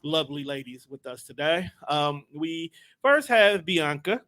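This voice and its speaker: A young man hosts a casual podcast with a lively, bouncing delivery and a bright, forward tone. He sits a little high in pitch and keeps things joking and loose. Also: unhurried. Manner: joking, animated, laughs often. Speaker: podcast host. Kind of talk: casual comedic chat.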